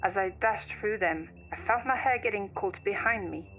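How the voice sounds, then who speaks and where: A woman's voice: medium pitch, moderate pace, phone-quality sound. A woman reads a scripted first-person horror story as its narrator, like someone recounting a chilling tale. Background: music.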